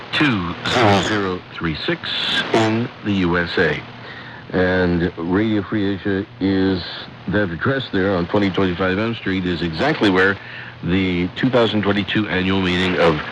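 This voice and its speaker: A man presenting a radio programme in a scripted announcing style, deliberately repeating and spelling out details. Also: sits low. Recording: shortwave radio broadcast with loud noise.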